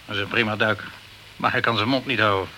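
An actor playing a gruff, guarded male sea captain in a Dutch radio crime drama, speaking tersely. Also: on the low side, steady tone, quick pace, bright, forceful tone, a little hiss or hum, low-quality recording. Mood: casual.

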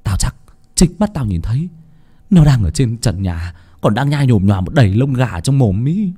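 A man's voice: mid-range voice, sing-song, moderate pace, dark tone, husky. A man voicing a frightened village boy in a horror story, stammering and urgent. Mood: frantic. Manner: stammering, urgent, breathless outbursts.

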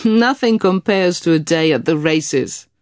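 A woman voicing a relaxed, grinning English male IT investigator in an audiobook, casually dropping in English phrases. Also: lowish voice, measured pace, dry recording, clean studio sound. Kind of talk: audiobook character dialogue.

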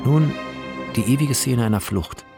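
A man reading screenplay shot directions as solemn, measured scripted narration for a radio play. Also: medium pitch, vocal fry, over loud music. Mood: wistful, measured.

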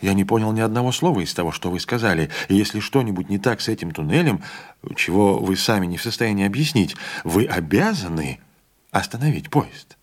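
Male reader as a pushy young student, low-voiced, hurried and insistent. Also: lively intonation. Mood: impatient.

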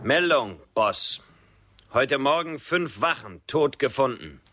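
A man's voice: medium pitch, animated, slow, sharp tone, faint music behind. A male actor playing a melancholy, brooding frontier henchman with a conscience in a German radio drama. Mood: uneasy, reporting.

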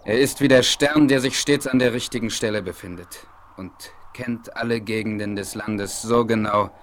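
An actor playing a noble, observant Apache chief in a 1970s radio play, speaking in a formal, measured, declamatory way. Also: male voice, lowish voice, natural voice. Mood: proud, solemn. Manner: formal, declamatory, measured, addresses others in third person. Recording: noisy 1970s vinyl radio play rip.

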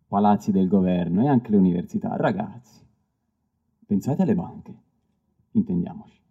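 A man giving a dramatic literary reading, declamatory and deliberate, with weighty pauses. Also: slightly rough. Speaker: stage reader of literary texts. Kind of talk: dramatic literary reading over live music. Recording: dull lo-fi recording, plucked bass music behind. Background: live stick bass music.

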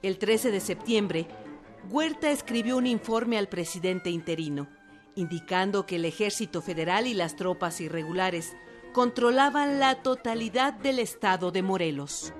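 A woman giving formal, measured scripted narration for a historical radio drama. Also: mid-range voice. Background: music.

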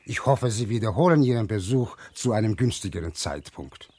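A man's voice: lowish voice, expressive, fairly quick, dark tone, slight background noise. An actor playing a small, guardedly polite and evasive man in a 1960s German radio drama, speaking formally. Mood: guarded politeness.

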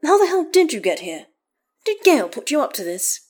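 A woman's voice: a little high, animated, a little slow, darkish tone, pitched above the performer's own voice. A woman voicing a vain, prickly man in a story, indignant, snappy and dramatic. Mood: protesting.

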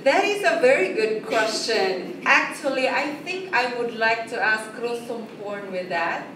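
A woman's voice: fairly high pitch, lively intonation, measured pace, fairly bright tone. A woman in her thirties from the Philippines with an American-sounding English accent, a teacher giving a school orientation to parents in a chatty, explaining way, checking in with the room as she talks. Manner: chatty, explaining with asides and checks like "right?" and "you know?". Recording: echoey room, distant mic, noisy.

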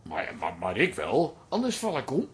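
A man's voice: medium pitch, huge pitch swings, moderate pace. An actor playing a muddled, timid, stammering man in a radio drama. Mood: bewildered. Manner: stammering, bewildered. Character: muddled, timid, slow-witted male hanger-on.

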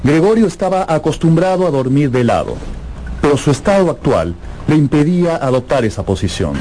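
Animated higher-pitched male storyteller. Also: brisk, noisy background. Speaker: narrator. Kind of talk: dramatic scripted radio drama narration.

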